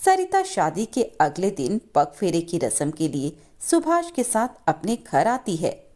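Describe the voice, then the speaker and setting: Animated female moral-story narrator. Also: fairly low pitch, unhurried.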